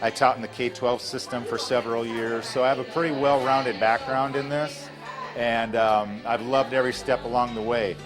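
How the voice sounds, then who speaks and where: A middle-aged man speaking informally and with passion as an instructor, in an animated manner. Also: medium pitch, moderate pace, music in the background. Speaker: early childhood education instructor. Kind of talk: informal classroom lecture and interview talk.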